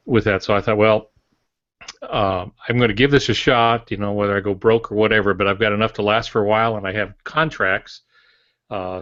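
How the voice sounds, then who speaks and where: An older man chatting reflectively as a podcast co-host, unhurried and hesitant with frequent pauses. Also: lowish voice, a bit bright, dry recording. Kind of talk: casual reflective storytelling. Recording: dry but dull lo-fi audio.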